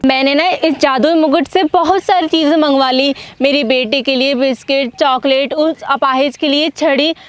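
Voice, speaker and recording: A woman's voice: high voice, steady tone, moderate pace, few pauses, dry recording, close to an everyday voice. A woman playing a vain, self-centred young mother in a moral-story skit, with exclamatory, theatrical delivery. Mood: delighted, eager. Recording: music under the voice.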